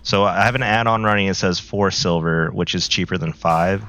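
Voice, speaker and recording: A man casually talking through a game as a video creator, relaxed and rambling with many fillers, his voice a bit worn from a recent flu. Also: sits low, normal speed. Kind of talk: casual gameplay commentary. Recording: slightly dull, lo-fi audio.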